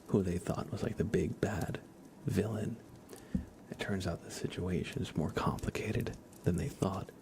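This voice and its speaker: A man hosting an ASMR livestream, chatting softly and casually in a rambling way, often slipping into a whisper. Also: on the low side, slight background noise. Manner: casual, rambling, hesitant with frequent "like". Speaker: livestream host. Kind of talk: soft-spoken ASMR livestream, casual chat.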